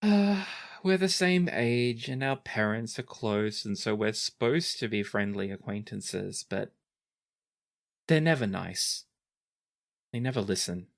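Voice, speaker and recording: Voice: mid-range voice, animated, takes it slowly, gravelly. A tabletop player voicing a reserved, hesitant young princess who speaks with slight formality. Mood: uneasy, reluctant. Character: reserved young princess, reluctant, formal, matter-of-fact about war.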